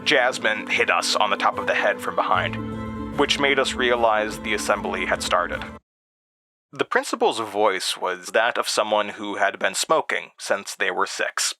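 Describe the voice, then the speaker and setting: A man's voice: fairly low pitch, lively intonation, unhurried, music in the background. An actor playing an uneasy man who recounts childhood memories in a confiding, hesitant audio drama monologue. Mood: wry, reflective.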